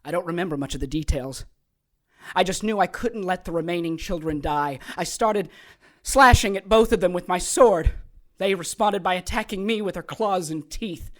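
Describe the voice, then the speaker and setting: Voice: mid-range voice, moderate pace, natural voice. An actor playing a sadistic sorcerer and former soldier in an audio drama, taunting and theatrical, with gleeful menace. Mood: dramatic storytelling. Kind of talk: audio drama acting, storytelling monologue.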